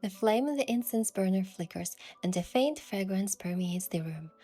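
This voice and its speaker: A woman voicing a curious young adventurer heroine, telling the story in the first person with earnest, expressive delivery. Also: mid-range voice, moderate pace, breathy edge. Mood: narrating, gentle. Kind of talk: scripted first-person narration and dialogue. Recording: music behind the voice.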